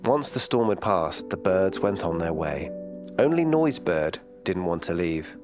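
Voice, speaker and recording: A man reading a children's bedtime story in a calm, gentle storytelling manner. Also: low-pitched, a little slow, over music.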